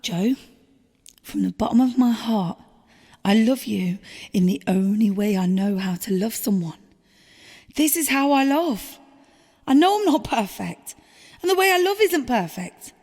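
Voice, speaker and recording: A woman's voice: fairly high pitch, expressive, measured pace, pauses often, a little mellow, slightly rough, full character voice. A woman playing a wounded, pleading and defensive girlfriend clinging to her relationship in an audio drama. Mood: pleading, hurt. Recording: long reverberant hall sound.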